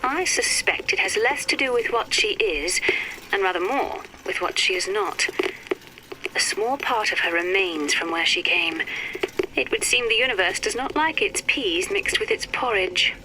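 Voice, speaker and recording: An actress playing a cool, erudite, wry and melancholy physicist in a game audio log, speaking in precise, measured, formal phrases. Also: female voice, medium pitch, expressive, lightly acted. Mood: wry, reflective. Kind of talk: scripted dramatic audio-log monologue for a game. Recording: noisy, crackly vintage audio-log recording.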